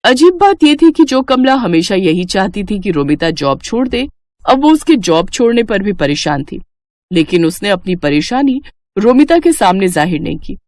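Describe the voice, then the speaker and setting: A woman's voice: medium pitch, sing-song, moderate pace, a little mellow. A woman storyteller reading scripted narration of a family moral story.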